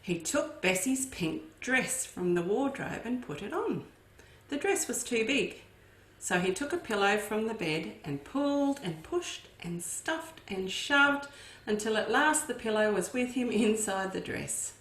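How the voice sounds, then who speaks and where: Slow, expressive storytime reader. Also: female voice, medium pitch. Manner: expressive, with asides to young listeners. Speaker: children's educator. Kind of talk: storytime read-aloud. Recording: echoey room.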